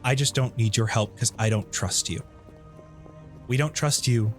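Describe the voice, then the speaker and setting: A man's voice: mid-range voice, moderate pace, faint music behind, natural voice. A man playing a guarded, self-reliant wounded traveler in a tabletop game, curt and defensive.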